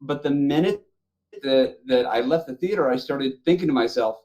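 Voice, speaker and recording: A man's voice: medium pitch, moderate pace, a little mellow. A middle-aged man talking casually and enthusiastically about films as a podcast panelist. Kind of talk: casual chat about movies.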